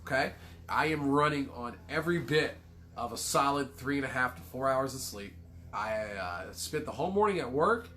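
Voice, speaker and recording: A man's voice: mid-range voice, lively intonation, moderate pace, sharp tone. Man with a cold hosting a livestream. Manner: rambling, swears casually, self-deprecating jokes. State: congested with a cold. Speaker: livestream co-host. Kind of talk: casual livestream chat.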